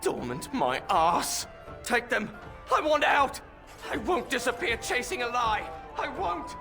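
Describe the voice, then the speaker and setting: A man's voice: extremely high pitch, very animated, measured pace, gravelly, music in the background, full character voice. A man playing a frantic, paranoid character in a video game, raving erratically in panic. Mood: desperate.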